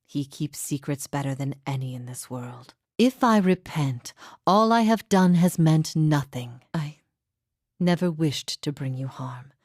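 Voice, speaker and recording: Low-pitched, solemn woman heretic prophet. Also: animated, a little mellow. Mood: solemn, resigned. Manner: solemn, measured, formal phrasing. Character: grave, steadfast female heretic prophet haunted by past lives. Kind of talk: dramatic fantasy game voice acting.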